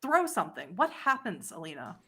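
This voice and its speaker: A woman's voice: mid-range voice, huge pitch swings, fairly quick, pushed and bright, husky. A woman acting as game master, narrating a tabletop roleplaying game, explaining rules and describing scenes to players.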